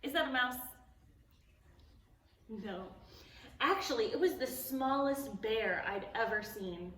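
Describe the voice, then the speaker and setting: A woman with a high, bright voice reads a picture book to little children, taking her time and leaning into each line. She is animated and playful, breaking off to ask the kids questions. The recording is echoey, with her voice sitting a little back from the mic. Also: takes it slowly. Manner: playful, gasps and exclaims at the story. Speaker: library storytime reader. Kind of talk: reading a picture book aloud to preschoolers. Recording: echoey room, voice a bit far from the mic.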